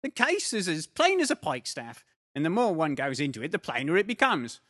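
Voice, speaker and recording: A man's voice: a little high, big pitch swings, fairly quick, pushed and bright, heavily acted. A man reading an audiobook, voicing a self-assured police inspector with a confident, patronizing manner. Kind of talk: audiobook character voice.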